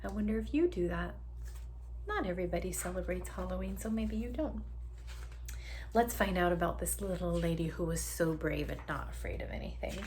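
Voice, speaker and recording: A woman teacher reading a picture book aloud to young children in an animated way, drawing out sound words and inviting them to join in, with some background noise. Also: fairly low pitch, a little fast.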